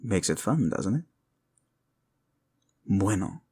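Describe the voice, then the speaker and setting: Man playing a slow-spoken romantic king, Spanish accent. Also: sits low, big pitch swings, a little mellow, husky, exaggerated voice. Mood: playful, tender. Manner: tender, halting, dramatic, sprinkles in endearments. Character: protective young king, tender, lovesick, proud, playful romantic. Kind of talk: intimate romantic audio drama acting addressed to listener.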